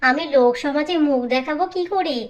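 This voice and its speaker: A woman's voice: high voice, fairly quick, natural voice. A woman voicing a bossy older village mother-in-law in a cartoon fairy tale, dramatically wailing, nagging and giving orders. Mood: dismayed, indignant.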